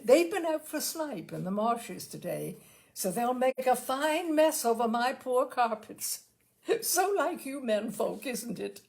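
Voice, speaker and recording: Lady doing a gushy middle-aged society hostess from a storybook, high voice, super swoopy and brisk. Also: sing-song, creaky, a little mellow, exaggerated voice. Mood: brisk, cheerful. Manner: brisk, gushing, chattering. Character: brisk, chatty middle-aged English hostess, cheerfully rattling on. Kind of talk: storybook reading.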